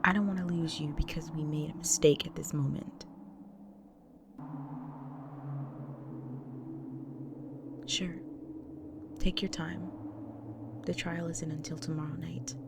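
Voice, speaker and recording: A woman playing a grave, devoted vampire wife in an intimate audio roleplay, speaking solemnly to the listener in an often breathy, whispered delivery. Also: low voice, fairly even intonation, moderate pace, background noise. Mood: pleading, earnest.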